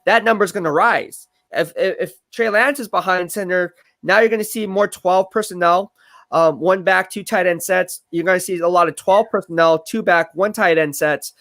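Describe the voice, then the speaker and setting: A man hosting a casual live stream on football, talking fast and energetically with lots of fillers and repeated words. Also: high voice, a bit bright. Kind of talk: casual sports analysis livestream.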